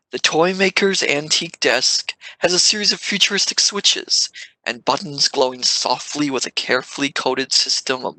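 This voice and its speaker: A man's voice: medium pitch, creaky, pushed and bright, dry recording, rough recording. A man reading scripted stage narration for an audio drama.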